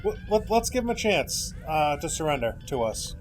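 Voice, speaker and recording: A man with a fairly high, animated voice chats as a tabletop game player. He is casual and a little hesitant, with faint noise behind him. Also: moderate pace.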